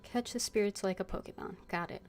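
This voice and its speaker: A woman's voice: medium pitch, brisk, darkish tone, in a whisper, soft background music. A woman streaming a game, voicing her character's lines aloud with casual, wry asides.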